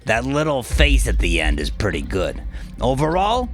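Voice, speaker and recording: A man's voice: mid-range voice, wildly swooping pitch, moderate pace, faint music behind, voice pushed up. A man voicing a sarcastic male gangster sidekick in an audiobook, needling and blustery, with profane dry remarks. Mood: dry, approving. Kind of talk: audiobook character dialogue.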